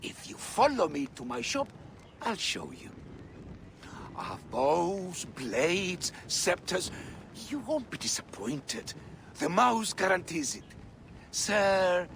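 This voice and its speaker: An actor playing a sly, chatty, self-pitying street merchant in a video game, ingratiating and theatrical. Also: male voice, sits high, big pitch swings, takes it slowly, vocal fry, fairly bright tone, slight background noise, full character voice. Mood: wheedling, salesmanlike. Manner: ingratiating, theatrical, third-person boasting.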